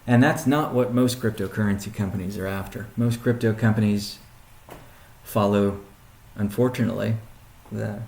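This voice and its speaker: A man speaking as a chief executive on a conference call, answering questions in a halting, deliberate way with pauses and restatements, in a roomy recording. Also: lowish voice, steady tone, gravelly, a little mellow. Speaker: company CEO hosting a weekly conference call. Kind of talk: question-and-answer business briefing. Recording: roomy, echoing sound.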